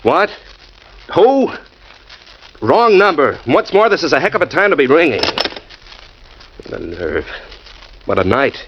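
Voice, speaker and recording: Brief: joking husband, lively sing-song delivery, dull old radio play with faint hiss. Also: male voice, a little high. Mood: exasperated. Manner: wry, teasing, quick to grumble. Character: wisecracking, exasperated young husband. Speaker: radio drama actor. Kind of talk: 1940s radio acting.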